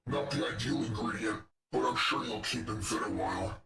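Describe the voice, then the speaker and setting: A man's voice: mid-range voice, moderate pace, recorded from a distance, music in the background, lightly acted. A man voicing a menacing, arrogant robot henchman in a video game, fiery and sadistic, with taunting, condescending lines. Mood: contemptuous. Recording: echoey room, off the microphone.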